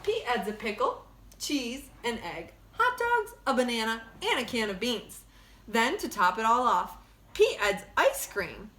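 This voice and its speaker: Female storybook reader for kids, teacherly, higher-pitched and very lively, roomy sound. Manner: expressive, simple phrasing aimed at young children. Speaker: teacher reading a story to children. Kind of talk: children's storybook read-aloud. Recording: echoey room.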